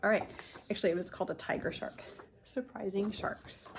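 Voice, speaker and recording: A woman kindergarten teacher reads a picture book aloud to young children, pausing to explain and point things out in simple words. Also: medium pitch, steady tone, noisy background.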